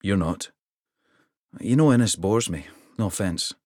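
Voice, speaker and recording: A man voicing a blunt, teasing young woman warrior in a brisk way. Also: low voice, darkish tone.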